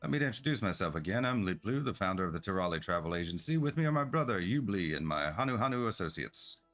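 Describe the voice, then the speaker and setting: A man's voice: sits low, big pitch swings, fast, a bit bright, heavily acted. A man reading the part of an eager, polite merchant in a game, with effusive, formal sales patter. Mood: welcoming. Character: eager, polite merchant founder of a travel agency. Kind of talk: game quest dialogue read aloud. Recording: music behind the voice.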